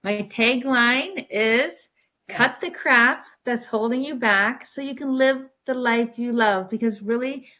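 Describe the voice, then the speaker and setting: A woman's voice: a little high, fairly bright tone, highs cut off. A woman from Ontario, Canada, co-hosting, introducing herself and asking questions in an upbeat manner. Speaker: co-host.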